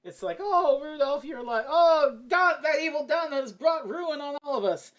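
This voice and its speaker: A woman's voice: sits high, animated, moderate pace. A woman co-hosting a casual review chat, theatrical and sweary, acting out quotes as she goes. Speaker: podcast co-host.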